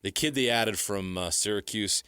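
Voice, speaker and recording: A man's voice: fairly low pitch, normal speed, sharp tone, clear, resonant tone, no echo, clean studio sound. An American man co-hosting sports talk, giving analysis emphatically in short, repeated phrases. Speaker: sports radio co-host.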